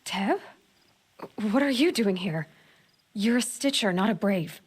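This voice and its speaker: A resourceful young huntress, terse and focused, mid-pitch, game cutscene. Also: female voice, animated, measured pace, slightly rough. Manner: terse and focused, often hushed. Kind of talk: video game cutscene acting. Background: game music.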